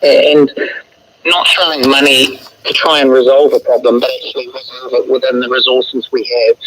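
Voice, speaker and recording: A man's voice: medium pitch, level tone, moderate pace. A man with a New Zealand accent gives a radio interview as a lecturer. His mid-range voice is explanatory and somewhat hesitant. It comes through a radio playback with faint noise. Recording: noisy shortwave radio playback.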